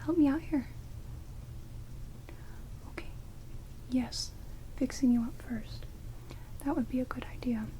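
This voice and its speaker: A woman's voice: sits high, unhurried, pauses often, poor audio. A woman playing a sardonic, reluctantly helpful vampire in a whispered ASMR roleplay, dry and rude, close on binaural mics. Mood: grudgingly helpful. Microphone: close binaural microphones. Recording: binaural whisper with steady rain noise. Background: heavy rain.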